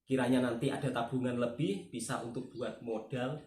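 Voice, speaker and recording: A man from Java with a Javanese accent talks earnestly as a channel host, often turning to his friend for agreement. His voice sits at a middle pitch, and the room around him is echoey. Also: steady tone, normal speed, darkish tone. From Java, Indonesia. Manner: earnest, keeps asking for agreement. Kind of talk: casual chat with a friend.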